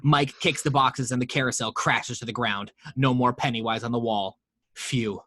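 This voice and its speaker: A man's voice: medium pitch, fairly even intonation, moderate pace, bright, forceful tone, dry recording, studio quality. A man reading the action lines of a screenplay aloud at a home table read, steadily, voicing the sound effects. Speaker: narrator reading action description.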